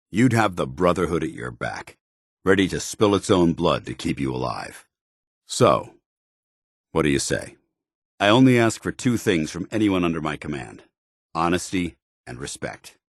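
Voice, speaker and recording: An actor playing a stern, duty-bound military commander in a video game, speaking formally with clipped authority. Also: male voice, very deep voice, slightly rough, dry recording, clean studio sound, full character voice. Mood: commanding, businesslike. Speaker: commanding officer. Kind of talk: scripted video game dialogue.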